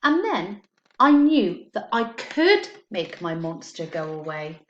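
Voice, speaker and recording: A woman's voice: medium pitch, dull audio. Woman storyteller in an echoey room. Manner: expressive storytelling with slowed, emphasised words. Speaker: primary school teacher reading to pupils. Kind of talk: children's story read aloud, addressing pupils. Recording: echoey, roomy sound.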